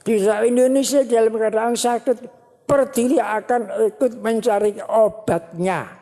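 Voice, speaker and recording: A woman religious teacher giving a sermon at a ceremony, speaking slowly and repeating phrases for emphasis. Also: on the high side, dark tone. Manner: slow, repetitive, emphatic preaching. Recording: long hall reverb.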